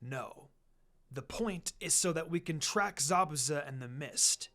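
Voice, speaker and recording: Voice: medium pitch, expressive, a little slow, pushed and bright. A reader playing an eager, scrappy boy ninja in a story reading. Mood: sly confidence. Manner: brash, explaining eagerly.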